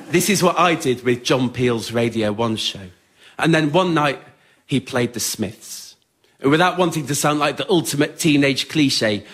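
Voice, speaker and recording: A man's voice: medium pitch, fairly bright tone. A man performing scripted, autobiographical comic storytelling to a live audience in a theatre. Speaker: writer-performer of a one-man spoken word show. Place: on stage in a radio theatre with a laughing audience.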